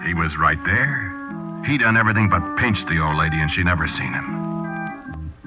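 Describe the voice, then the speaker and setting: An actor playing a hard-boiled American small-time crook, narrating colloquially and confidingly in a 1940s radio drama. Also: male voice, sits low, wildly swooping pitch, moderate pace, loud music behind the voice. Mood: wry, amazed. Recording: dull 1940s radio broadcast recording.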